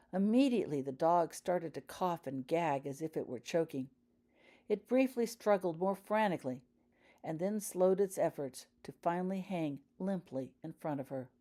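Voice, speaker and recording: Low-pitched woman narrating a story. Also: warm tone. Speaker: author reading own fan fiction audiobook. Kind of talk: scripted dramatic story narration.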